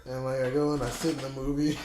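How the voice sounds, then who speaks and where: A man's voice: medium pitch, fast, slight background noise. A young man, a fighter guest on a podcast, telling loose, rambling stories and poking fun at himself. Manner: relaxed, rambling storyteller who jokes about himself. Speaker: podcast guest, mixed martial arts fighter. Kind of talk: casual podcast chat. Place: podcast studio. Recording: roomy studio echo.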